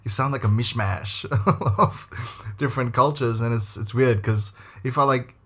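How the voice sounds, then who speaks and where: A man's voice: mid-range voice, measured pace, fairly bright tone, breathy edge. A man from South Africa whose accent mixes South African and American, talking in an easygoing way as a podcast guest. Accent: South African mixed with American. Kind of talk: casual conversation.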